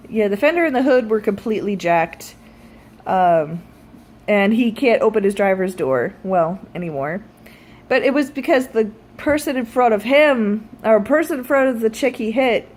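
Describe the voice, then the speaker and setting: A woman in her early thirties talks casually, like a vlogger catching up with viewers. Her voice sits at a medium pitch, and her delivery is animated and wry. She pokes fun at herself and swears freely. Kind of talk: casual storytelling chat to viewers.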